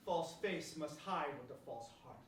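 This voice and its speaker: A man's voice: fairly high pitch, animated, measured pace. Volatile warrior lord, very echoey, acted by a man. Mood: grimly resolved. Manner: theatrical, swinging from outbursts to murmurs. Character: tormented, volatile warrior lord drawn into murder. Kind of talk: classical stage acting. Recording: very echoey room, distant mic, faint noise.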